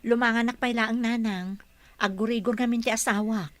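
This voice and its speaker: An actress playing a caring, dutiful young wife in a radio drama, gentle, coaxing and patient. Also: female voice, on the high side, steady tone, normal speed, no echo, lightly acted.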